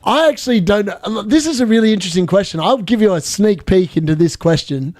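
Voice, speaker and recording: A man in his late thirties hosting a live fantasy football stream, chatty and excitable, laughing often as he answers viewer questions. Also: sits high, brisk, dry recording. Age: 30s.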